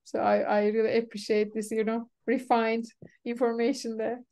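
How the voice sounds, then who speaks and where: A woman whose first language is Turkish, hosting a webinar and chatting quickly with many fillers over a video call. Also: fairly high pitch, level tone, vocal fry, mellow. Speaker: webinar organizer. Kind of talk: casual webinar chat.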